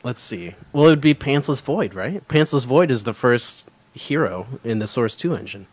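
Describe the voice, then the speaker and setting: A man's voice: medium pitch, lively intonation, normal speed, no room sound. A man hosting a casual gaming podcast, playful and announcer-like as he introduces his co-hosts. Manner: playful announcer-style host. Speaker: podcast host.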